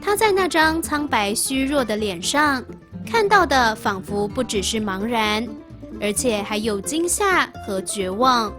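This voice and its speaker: A woman reading literary sentences aloud in a scripted, measured style. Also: fairly high pitch, sing-song, over music.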